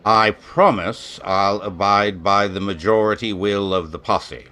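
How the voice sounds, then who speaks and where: A man as a stern, slow-talking commanding major. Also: on the low side, fairly even intonation, sharp tone. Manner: measured, authoritative. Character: stern, commanding ex-military major leading a posse. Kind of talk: old-time radio western acting.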